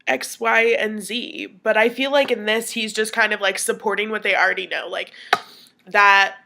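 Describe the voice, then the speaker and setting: A young woman with a sniffly cold records her class response, talking it through in a chatty way with lots of "like" and "um". Her voice is mid-pitched with a noticeable creak and fry. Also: steady tone, moderate pace, background noise. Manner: chatty, filler-heavy, thinking aloud. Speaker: student.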